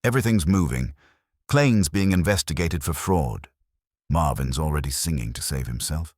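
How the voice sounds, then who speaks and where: Man reading as wry, deep-voiced detective sidekick. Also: animated, normal speed, a little mellow, no echo, studio quality. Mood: wry, reassuring. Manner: slangy, wry. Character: wisecracking, breezy male detective's assistant. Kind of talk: detective audiobook dialogue.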